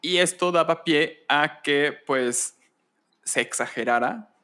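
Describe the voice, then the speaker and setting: A man hosting a podcast, explaining history conversationally in a deliberate way, with pauses and rhetorical questions. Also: on the high side, pushed and bright, clean tone. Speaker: podcast host.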